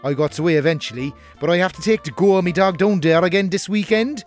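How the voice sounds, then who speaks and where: A man plays a cheeky working-class Cork lad with a high-pitched voice. He spins an indignant comic tale in blunt street slang, with faint music underneath. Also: quick pace, lightly acted. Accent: Irish, working-class Cork. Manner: blunt, colloquial storytelling. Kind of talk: comic scripted monologue.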